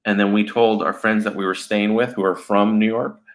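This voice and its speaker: Gravelly low-voiced joking man. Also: steady tone, brisk. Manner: joking, storytelling, laughs often. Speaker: guest animator. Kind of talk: casual interview chat.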